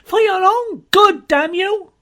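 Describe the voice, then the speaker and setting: A woman reading an audiobook, voicing a jealous young woman who rages in loud, tearful outbursts. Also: very high voice, takes it slowly, pitched above the performer's own voice, exaggerated voice. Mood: furious.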